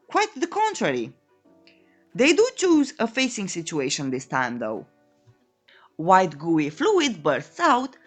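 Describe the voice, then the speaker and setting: A woman narrates a comedic recap with extremely animated pitch that leaps up and dips down constantly. Her delivery is playful and theatrical, like scripted storytelling with a tongue-in-cheek edge. Music plays behind her voice. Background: background music.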